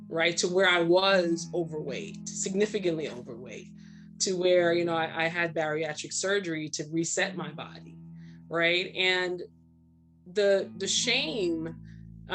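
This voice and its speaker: A woman's voice: lowish voice, a bit bright. A woman in her forties from Brooklyn and Trinidad, speaking candidly and conversationally about herself as a panel guest, often checking in with "right?". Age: 40s. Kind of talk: candid personal storytelling in panel discussion.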